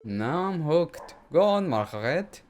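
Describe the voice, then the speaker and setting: A man's voice: on the high side, sing-song, slow pace, darkish tone, a little hiss or hum. A man hosting a game playthrough, reacting with sassy exclamations between the lines of dialogue. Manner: sassy exclamations, cheering on characters. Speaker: gaming video host. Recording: music behind the voice.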